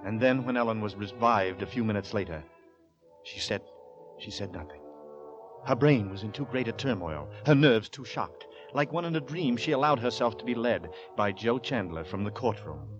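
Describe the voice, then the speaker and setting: Actor as ominous male storyteller, mid-pitched, dull vintage radio sound with prominent music behind. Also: expressive, moderate pace, lightly acted. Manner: dramatic, ominous. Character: grave, suspenseful male storyteller of a horror tale. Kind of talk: scripted narration, 1940s radio acting. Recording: dull 1940s radio recording. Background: loud dramatic music.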